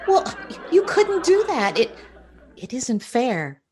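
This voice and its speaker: An actress playing a disapproving, conscientious wife in an old-time radio sitcom, speaking reproachfully. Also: female voice, sits high, huge pitch swings, slow pace, a little mellow, slightly rough, full character voice. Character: disapproving, conscientious wife of a scheming working man.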